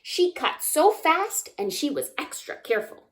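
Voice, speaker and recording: A woman reads a picture book aloud for children in a fairly high voice. Her delivery is very slow, with animated, swooping intonation. She addresses young listeners directly with simple questions. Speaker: children's story time reader.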